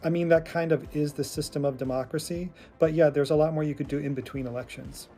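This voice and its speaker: A man's voice: fairly high pitch, steady tone, fast, warm tone, soft background music. A man giving casual political reaction commentary in a condescending way.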